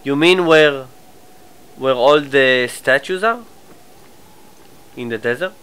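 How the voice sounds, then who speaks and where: A man with a cold and a stuffy nose, chatting casually through a live game stream, his asides often trailing off quietly. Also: mid-range voice, slow, clean tone, low-quality recording. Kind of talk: live gameplay commentary.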